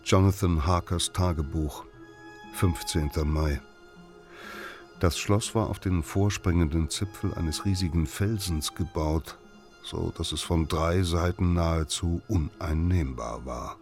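A serious traveller reading his journal, really deep voice, measured and solemn, faint music behind. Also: darkish tone. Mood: grave, measured. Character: Jonathan Harker, grave male traveller reading his diary. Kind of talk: literary audiobook reading, radio drama.

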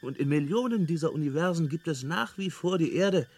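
Breezy, higher-voiced space hitchhiker. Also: male voice, lively intonation, a little fast, darkish tone, breathy edge. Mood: calm, explanatory. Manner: nonchalant, explanatory, amused. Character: breezy, unflappable male galactic hitchhiker, experienced field researcher. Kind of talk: radio drama acting.

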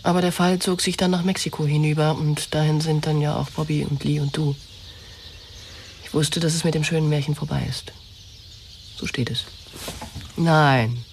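A woman's voice: low-pitched, steady tone, normal speed, long pauses, darkish tone, recorded from a distance, slight background noise. An actress in a German radio drama playing a wounded young woman, pleading and confessing with insistent emotion. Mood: pleading, defiant.

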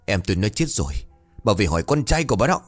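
A man's voice: on the low side, big pitch swings, quick pace, faint music behind. A man voicing a foul-mouthed, hot-tempered village man who barks coarse threats in an audio story. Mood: threatening. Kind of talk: dramatic audio-story dialogue.

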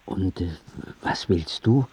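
An actor in a radio play playing a reluctant father and anguished artist, husky and pointed, with a dry, sardonic manner. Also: deep voice, takes it slowly, a little mellow, slight background noise. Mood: reproachful. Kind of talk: radio drama dialogue.

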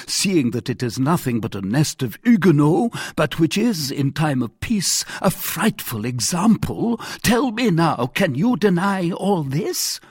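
A male narrator plays a petulant French king from an audiobook. He pitches his voice high and swings it about in querulous, theatrical complaint. He sounds self-pitying and quick to flare into temper. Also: breathy edge, no room sound, studio quality, exaggerated voice. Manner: querulous, theatrical, emphatic outbursts. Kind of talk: dramatised audiobook character voice.

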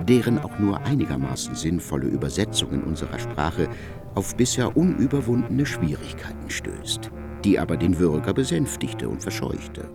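A man playing an erudite narrator in a literary radio drama, speaking eloquently with deliberate phrasing. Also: deep voice, big pitch swings, over music. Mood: erudite, expressive. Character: erudite male narrator of a biblical epic.

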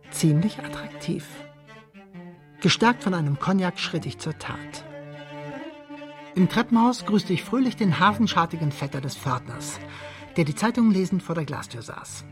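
A proud, easily irritated man in a radio play. He speaks in dry, wordy, carefully articulated phrases. Prominent string music runs behind his voice. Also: on the high side. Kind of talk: radio play acting and first-person narration.

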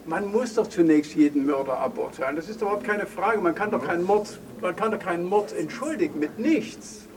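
A man's voice: sits high, animated, a little fast, hardly any pauses. A man playing a blunt, judgmental accuser who presses insistently in an improvised spoken audio drama. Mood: accusing, insistent. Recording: noisy, distant microphone with background ambience.

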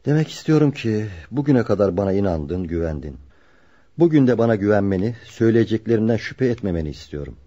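A loyal carpenter in a radio play, with a dark, husky voice. He is serious and measured, and the recording sounds muffled. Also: on the low side, very animated. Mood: earnest, serious. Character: loyal, earnest carpenter protecting his childhood friend. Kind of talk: radio drama acting.

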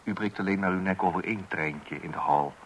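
A man's voice: sits low, brisk. An actor playing an exasperated father of small children in a Dutch radio play, hesitant and blustering, with wry asides. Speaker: narrator addressing the listener. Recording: hissy old radio recording.

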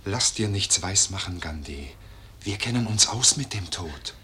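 A man's voice: deep voice, lively intonation, unhurried, pushed and bright, a little hiss or hum, exaggerated voice. A man voicing an insistent, taunting bullet that addresses a dying man in a declamatory radio play. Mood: mocking. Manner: insistent, pointed.

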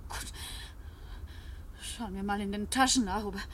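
A woman's voice: mid-range voice, normal speed, a bit bright, a little hiss or hum, natural voice. An actress playing a nervy, cold-blooded woman who talks to herself theatrically in a 1970s German radio play. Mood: scornful, scheming. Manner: theatrical, talking to herself in exclamations. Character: Françoise, cold-blooded nervous young Parisian blackmail victim turned killer.

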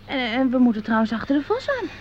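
High-voiced prim lady. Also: sing-song, fast talker. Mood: shocked. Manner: coy, exclaiming. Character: prim, soft-hearted lady, easily shocked. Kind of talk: Dutch radio play acting. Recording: muffled radio recording, some noise.

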